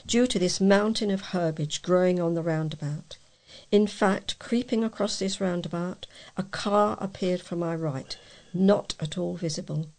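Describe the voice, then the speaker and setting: Creaky-voiced woman reading aloud. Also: fairly low pitch, a little mellow. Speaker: volunteer newspaper reader. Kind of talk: reading readers' letters aloud.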